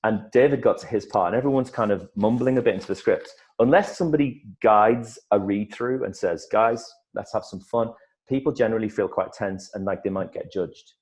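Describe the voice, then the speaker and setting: A middle-aged man, an actor, telling stories in a casual interview, lively and full of restarts. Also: lowish voice, brisk. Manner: animated storyteller, many restarts and repeated words. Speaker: actor guest.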